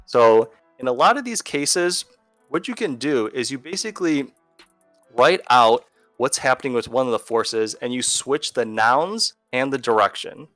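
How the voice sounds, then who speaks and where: A man's voice: mid-range voice, expressive, measured pace, a bit bright, no echo, soft background music. A man explains as a teacher in a video lesson, conversational and going step by step. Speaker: physics teacher.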